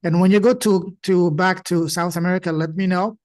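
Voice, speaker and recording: A man hosting a podcast interview, with a voice pitched a little higher than most men's. He speaks in an encouraging, motivational way, praising his guest and offering help. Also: steady tone, brisk, darkish tone. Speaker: podcast host.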